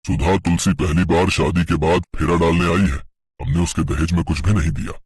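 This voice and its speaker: A man's voice: sits low, steady tone, brisk, a little mellow, husky, no echo, voice pushed down. A man voicing a meek, placating elderly village uncle in an audio folk story.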